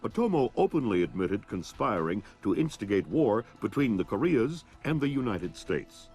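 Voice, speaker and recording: A man's voice: fairly low pitch, big pitch swings, normal speed, a little mellow, husky, soft background music. A man playing a formal, serious television news anchor reading a bulletin in a video game. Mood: formal, measured.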